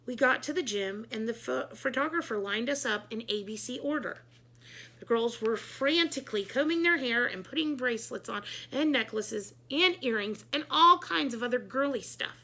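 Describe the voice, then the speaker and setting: A woman reading a children's book aloud in an animated, expressive storytelling manner with dramatic emphasis. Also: fairly high pitch, normal speed, low-quality recording. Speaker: read-aloud storyteller, teacher.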